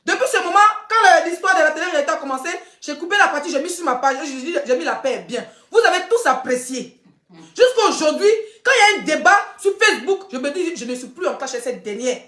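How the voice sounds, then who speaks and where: A woman's voice: sits high, animated, fast, a bit bright, reverberant. A woman, hosting a rambling gossip live stream and often laughing mid-sentence. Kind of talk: casual live-stream commentary.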